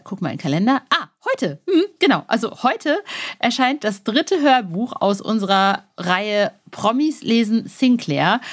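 A woman hosting a podcast in a casual, slangy, enthusiastic way, speaking directly to her listeners. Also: medium pitch. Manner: enthusiastic, gushing. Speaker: podcast host. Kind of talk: casual, chatty podcast presenting.

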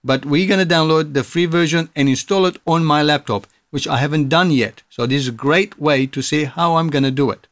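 A man in his thirties giving a casual software tutorial, explaining things step by step. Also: on the high side, no echo. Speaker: tutorial presenter.

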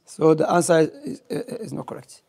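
A man's voice: on the high side, lively intonation, normal speed, vocal fry, darkish tone, breathy edge. A young man giving an informal Islamic lesson, telling stories and asking quiz questions in non-native English sprinkled with Arabic terms. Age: young adult. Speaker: religious teacher. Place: small indoor library room. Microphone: gooseneck microphone close to the mouth.

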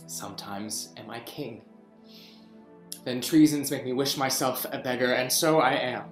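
A man's voice: medium pitch, reverberant, soft background music, natural voice. A man playing a brooding jailed king. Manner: slow, swings from hushed whispers to outbursts. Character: deposed imprisoned king, brooding and bitter. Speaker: actor in a staged reading. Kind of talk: Shakespeare reading over video call. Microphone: video call webcam.

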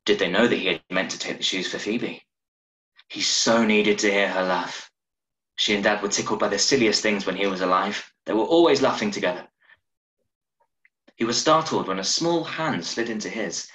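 A man in his thirties giving a measured, expressive reading of a short story over a video call. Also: on the low side. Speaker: story reader. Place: small room at home, on a video call. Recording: roomy, echoey video-call sound.

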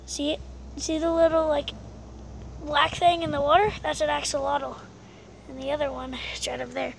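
A woman chatting casually in an outdoor vlog, filming by a pool on a handheld phone, loose with many fillers and dropping quiet while she searches. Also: high-pitched, flat delivery, moderate pace, creaky, slight background noise, rough recording. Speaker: vlogger. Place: outdoors by an abandoned pool on rural land. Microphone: handheld camera phone filming the water.

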